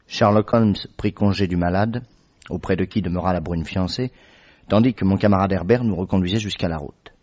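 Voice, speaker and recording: A man narrating a radio drama in a measured, steady way as the detective's companion. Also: deep voice. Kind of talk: scripted narration. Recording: dull vintage radio recording.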